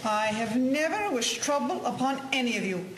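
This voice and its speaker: A woman's voice: high-pitched, lively intonation, normal speed, a little hiss or hum, rough recording. A woman playing a proud farm woman accused of witchcraft in a historical drama. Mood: defiant.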